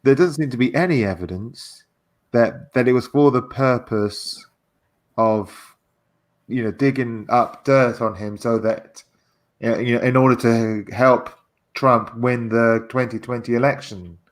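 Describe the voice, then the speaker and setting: A man chats about politics on a livestream in a medium-pitched voice. His pace is very slow. He is halting and hesitant, often repeating words while he works toward his point. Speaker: discussion participant on a livestream. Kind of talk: casual political discussion.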